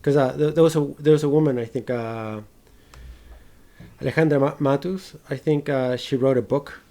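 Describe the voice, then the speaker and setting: A man hosting a casual film discussion podcast. His voice is mid-pitched with a good deal of fry and gravel. He speaks haltingly and informally, swearing now and then as he thinks aloud. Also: mellow.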